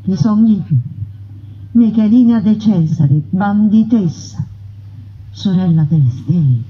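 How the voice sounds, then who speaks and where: A woman voicing a proud, defiant young woman bandit in a slow, solemn theatrical monologue. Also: mid-range voice, slightly rough. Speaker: narrating voice in a stage piece. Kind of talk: theatrical first-person monologue. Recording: dull radio broadcast sound.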